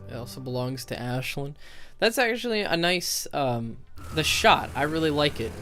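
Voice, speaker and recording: A man's voice: a little high, animated, normal speed, sharp tone. A man giving casual, sarcastic, nitpicking commentary over video game footage. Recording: game music behind commentary.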